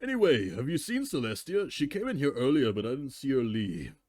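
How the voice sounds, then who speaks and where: A man reads as a polite pony castle guard in a storybook tale. He is tentative and hesitant, with a mellow tone and a dry, close sound. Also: medium pitch, big pitch swings, fairly quick, no echo, pitched above the performer's own voice. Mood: polite inquiry.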